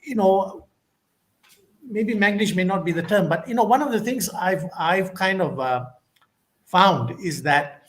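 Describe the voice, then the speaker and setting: Malaysian guy, kinda high voice, answering questions, lots of uh and you know. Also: a little slow, fairly bright tone. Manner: thoughtful, many fillers and restarts. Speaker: conference speaker. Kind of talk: academic Q&A answer.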